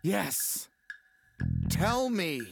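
A man's voice: fairly high pitch, wildly swooping pitch, drawn-out words, vocal fry, music in the background, heavily acted. An actor playing an anxious, luckless ad man in an audio drama, pleading and insistent. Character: anxious, desperate, luckless low-level ad man. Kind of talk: naturalistic audio drama acting.

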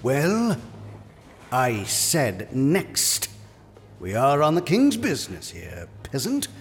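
Haughty medieval reeve, slow sarcastic drawl, swooping pitch, impatient, audio drama. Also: male voice, wildly swooping pitch, full character voice. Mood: sneering, mocking. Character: sneering, impatient reeve collecting taxes from peasants. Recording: long hall reverb, music underneath.

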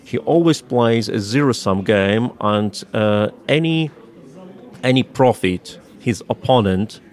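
A man from Russia with a Russian accent, a native Russian speaker, explaining politics in a halting, deliberate and emphatic way as an interview guest. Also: on the low side, animated.

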